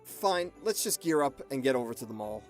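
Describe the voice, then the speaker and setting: A woman's voice: a bass voice, big pitch swings, fairly quick, soft background music. A woman playing a sarcastic, incredulous young skeptic in a radio drama.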